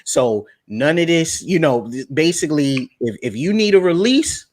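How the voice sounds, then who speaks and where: A man's voice: a little high, sing-song, normal speed. A young man talking casually and emphatically on a livestream, repeating words as he makes his points. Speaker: guest speaker on finance.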